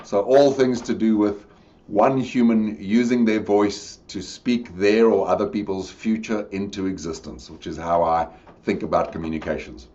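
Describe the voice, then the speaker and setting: Podcast guest: deep-voiced South African man, middle-aged, thoughtful explainer, dull lo-fi audio. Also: steady tone, normal speed, mellow. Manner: reflective, expansive explainer. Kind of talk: conversational interview.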